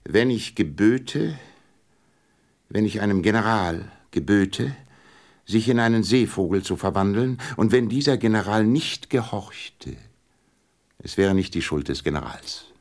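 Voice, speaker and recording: A man's voice: fairly low pitch, lively intonation, unhurried, darkish tone. An actor playing a pompous but kindly old king in a radio play, issuing grand commands with hesitant stammers. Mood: pompous, commanding. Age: older.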